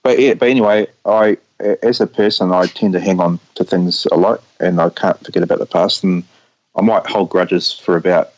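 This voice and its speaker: Low-voiced, stammering New Zealand man. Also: steady tone, a little mellow, lo-fi sound. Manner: halting, stammering, repeats words. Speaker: guest. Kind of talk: remote interview.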